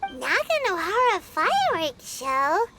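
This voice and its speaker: A woman voicing a tiny, excitable childlike companion creature in a video game, with a squeaky voice. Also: slow, heavy background noise, full character voice. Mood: curious.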